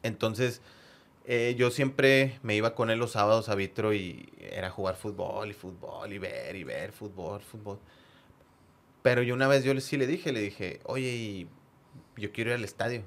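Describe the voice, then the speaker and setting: A man with a northern Mexican accent chatting casually and telling anecdotes as a podcast guest, in a halting way with words repeated for emphasis. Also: on the low side. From Monterrey area, northern Mexico. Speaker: podcast guest, football fan.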